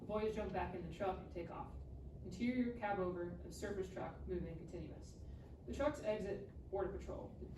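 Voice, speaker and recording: A woman with a slightly low voice narrates a screenplay at a live table read. She sounds set back from the mic in an echoey room, with clear background noise. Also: fairly quick, recorded from a distance. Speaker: stage-directions reader.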